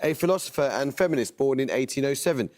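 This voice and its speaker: A man hosting a quiz show in a TV studio, reading questions in a measured, precise way. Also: medium pitch, animated, a little mellow.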